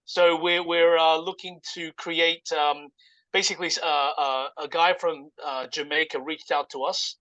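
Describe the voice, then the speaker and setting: A man giving an informal, halting explanation as a guest presenter in casual conversation. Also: on the high side, fairly even intonation, a bit bright, no echo, lo-fi sound. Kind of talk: informal project pitch, casual chat.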